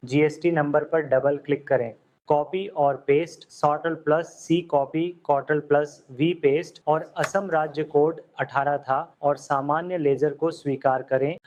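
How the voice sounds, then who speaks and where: A man teaching accounting software step by step, voiced over a screen recording, often asking the viewer what comes next. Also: on the high side, steady tone, measured pace, rough recording. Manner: step-by-step instructions with questions to the viewer. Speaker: software tutor.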